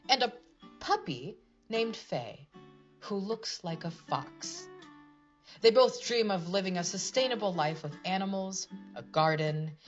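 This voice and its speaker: A woman's voice: medium pitch, lo-fi sound. A woman narrating a scripted storybook tale for a puppet show in a dramatic fairy-tale manner.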